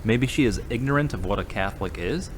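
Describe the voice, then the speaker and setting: A man playing an earnest, moody man returned to his faith, measured and subdued, in an audio drama. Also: fairly low pitch, lively intonation, slight background noise, natural voice. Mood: calm, plain. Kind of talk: hobby audio drama acting.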